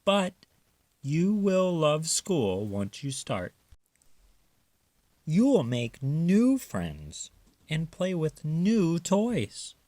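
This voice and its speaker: A man voicing a gentle, reassuring mother raccoon in a tender, soothing manner for a children's storybook. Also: on the high side, big pitch swings, drawn-out words, pauses often, dry recording, pitched above the performer's own voice, full character voice.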